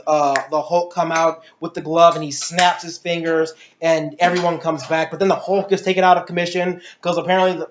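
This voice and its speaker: A woman giving an opinionated, casual movie review, ranting emphatically with swearing and a rambling, exasperated delivery. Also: on the low side. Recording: roomy echo, dull lo-fi sound.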